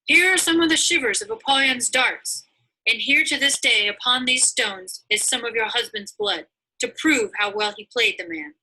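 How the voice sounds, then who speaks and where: A woman's voice: fairly high pitch, expressive, moderate pace, bright, forceful tone, breathy edge, pitched above the performer's own voice, full character voice, low-quality recording. A woman voicing a bold manservant who guards and guides pilgrims in a storybook, telling things emphatically. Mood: grave, emphatic. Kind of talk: storybook character voice.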